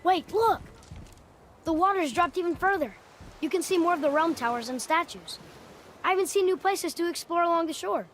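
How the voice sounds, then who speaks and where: A man's voice: very high voice, normal speed, a bit bright, slight background noise. A young male actor playing a curious, blunt boy in a video game, inquisitive and plain-spoken. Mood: excited. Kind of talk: video game cutscene acting.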